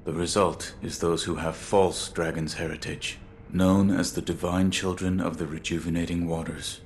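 A male actor playing a grim, loyal shinobi swordsman in a video game, speaking in a terse, formal deadpan. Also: deep voice, normal speed, slight background noise. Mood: stoic, solemn.

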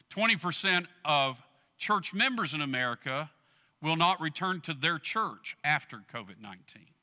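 Bright-voiced pastor preaching in a hall. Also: male voice. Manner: earnest preaching, swells loud then drops low. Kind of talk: sermon. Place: large church stage.

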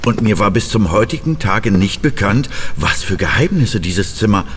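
Low-voiced aristocrat. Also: male voice, big pitch swings, normal speed, big-room sound, a little hiss or hum. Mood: composed. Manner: formal, authoritative. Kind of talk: radio play acting.

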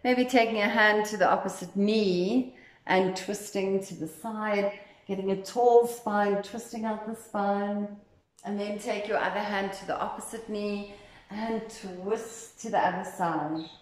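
A woman leading a guided meditation as instructor, speaking in a gentle, soothing, unhurried way. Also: mid-range voice, fairly even intonation. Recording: echoey, roomy sound.

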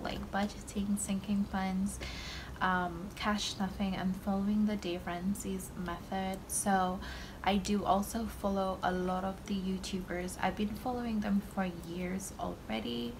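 A young woman from Malaysia chats unhurriedly at her desk, counting out money as she explains her budget. Her pitch sits in a middling range and her talk drifts with ums and likes. A clear layer of background noise runs under the recording. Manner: slow, relaxed, with many ums and likes. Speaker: budgeting channel host. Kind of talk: casual talk to camera while counting cash. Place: indoors at a desk. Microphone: overhead camera framing desk and hands.

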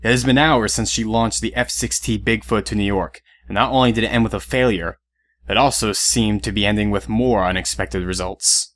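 A man narrates an audio drama in a low voice. He reads scripted story prose as the narrator. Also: steady tone, normal speed, a bit bright.

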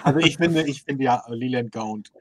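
A man's voice: medium pitch, normal speed, fairly bright tone, low-quality recording. A man in his fifties chatting casually as a panelist on a video call. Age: 50s. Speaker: livestream panelist. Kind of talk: casual chat.

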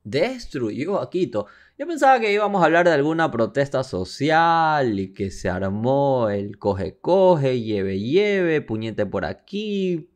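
A man with an Ecuadorian accent co-hosting a podcast in casual chat, playful, with exclamations and questions. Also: mid-range voice. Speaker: podcast co-host.

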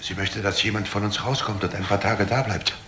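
Man playing a low-voiced, agitated drinker. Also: steady tone, noisy background, lightly acted. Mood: defensive. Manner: halting, repetitive, agitated. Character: defensive, resentful alcoholic brother, weak-willed. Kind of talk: German crime drama acting.

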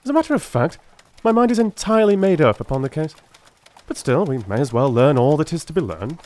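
A man voicing a brisk, self-assured detective who lectures precisely and emphatically, in a dramatised Victorian audiobook. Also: on the high side, very animated, a little mellow, voice pushed up. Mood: confident, animated.

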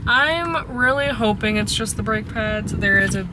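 A woman's voice: a little high, animated, a little slow, background noise. A young woman vlogging in a chatty, bubbly, rambling way. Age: young adult. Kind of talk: casual vlog chat.

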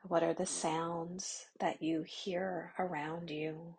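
A woman leads a guided meditation at a very slow, unhurried pace. Her voice is low, husky and a little gravelly, with long pauses and some phrases sinking into a whisper. She keeps her tone flat and even, with little rise and fall. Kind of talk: guided yoga nidra meditation.

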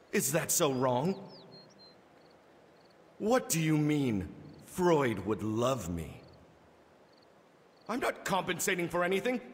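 An actor playing a vengeful winged god of death in a video game, speaking in grave, menacing, theatrical pronouncements. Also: male voice, mid-range voice, a bit bright, long reverb tail. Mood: defensive. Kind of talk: video game character voice lines.

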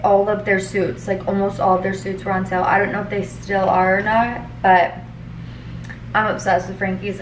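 A young woman talks straight to a camera held close at home, loose and chatty, with plenty of "like" and "um". Her voice sits at an average pitch over a faint hum of room ambience. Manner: chatty, rambling, lots of fillers. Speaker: vlogger. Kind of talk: casual vlog chat to camera. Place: home living room and kitchen. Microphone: camera at arm's length.

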